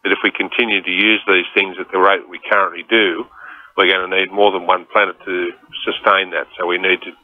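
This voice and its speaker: A man's voice: deep voice, flat delivery, brisk. A man with an Australian accent, a council manager, explaining in an interview soundbite. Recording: tinny phone line.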